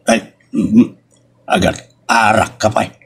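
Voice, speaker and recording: Man playing a gravelly old village father, grumbling. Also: lowish voice, little pitch movement, a little slow, heavily acted. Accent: Ilocos. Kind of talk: Ilocano audio drama acting.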